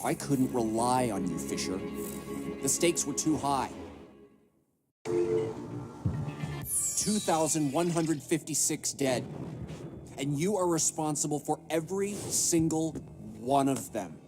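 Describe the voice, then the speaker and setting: A man's voice: on the high side, animated, takes it slowly, pauses often. A man playing a severe intelligence official in a video game, accusatory and clipped as he interrogates an agent. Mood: accusing. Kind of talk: dramatic video game acting. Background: music.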